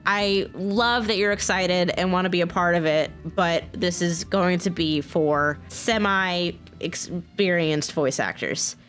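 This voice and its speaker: A woman talking casually and earnestly to her viewers, excited and informal in manner, as a video creator. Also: lowish voice, slow, hardly any pauses, soft background music. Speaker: video creator and game modder. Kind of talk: casual, personal talk to viewers.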